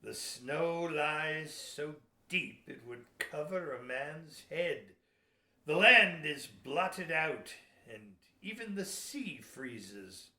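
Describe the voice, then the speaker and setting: A man acting a gruff, crafty sea captain in a historical audio play, goading people in archaic, emphatic seafaring speech. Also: on the high side, animated, extremely slow, pushed and bright, full character voice. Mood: feigned sympathy. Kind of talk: amateur audio theater historical drama acting. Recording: echoey room.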